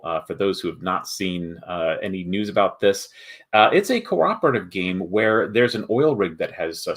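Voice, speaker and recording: A man hosting a casual livestream, chatting with viewers in a halting way as he thinks aloud. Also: low-pitched, a bit bright. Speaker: host of a board game company livestream.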